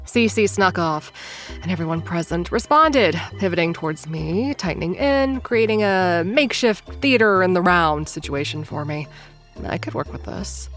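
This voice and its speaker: A woman's voice: medium pitch, fairly bright tone. A woman playing a sarcastic, flustered schemer who narrates a comedic audio drama. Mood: sly. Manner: wry, improvising, awkward.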